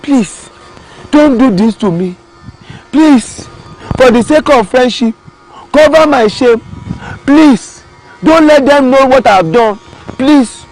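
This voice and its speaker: A man's voice: extremely high pitch, wildly swooping pitch, takes it slowly, creaky, fairly bright tone, faint music behind, voice pushed up, heavily acted, poor audio. A man playing a sly pleading tortoise. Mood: begging. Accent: Nigerian English. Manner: pleading, exclaiming. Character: sly male tortoise, false friend, pleading.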